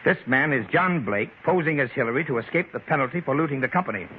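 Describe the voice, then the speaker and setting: An actor playing a shrewd, self-assured investigator who explains and accuses with authority in 1940s radio drama style. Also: male voice, mid-range voice, lively intonation, quick pace, lightly acted. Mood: triumphant, stern. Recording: muffled, noisy 1940s radio recording.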